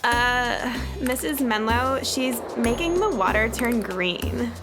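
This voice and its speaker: A woman's voice: a little high, slow, music in the background. A woman playing a fussy young mermaid schoolgirl in a children's radio drama. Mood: reluctant, complaining. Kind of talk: children's audio drama acting.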